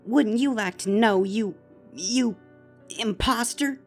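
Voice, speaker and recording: Man putting on a high, scared young filly voice. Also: slow pace, soft background music. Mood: accusing. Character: frightened suspicious filly, young girl pony.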